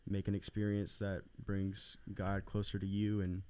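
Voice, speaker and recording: Deep flat-voiced guy, smooth tone, rambles with lots of ums. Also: a little slow. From Arlington, Texas. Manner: rambling, hesitant, many fillers. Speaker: church production volunteer. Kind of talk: casual podcast chat.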